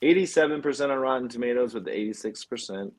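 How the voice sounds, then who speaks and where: A man's voice: mid-range voice, fast talker. A man co-hosting a film podcast in a casual, conversational style.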